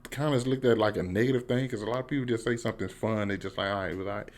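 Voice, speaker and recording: A man's voice: on the low side, racing. A man with an American accent giving a casual, slangy, emphatic one-take review as a YouTuber. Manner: slangy, informal, excitable, emphatic.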